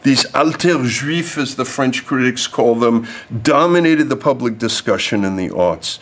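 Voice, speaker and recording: A man's voice: medium pitch, animated, unhurried, a bit bright. A man delivering a prepared lecture to an audience, reading formal text and adding off-script asides. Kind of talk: prepared speech read to an audience.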